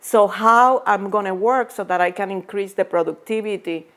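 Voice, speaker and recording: A middle-aged woman from Puerto Rico with a Puerto Rican Spanish accent, answering interview questions as an executive, halting with many fillers and restarts. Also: mid-range voice, a bit bright. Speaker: pharmaceutical executive guest.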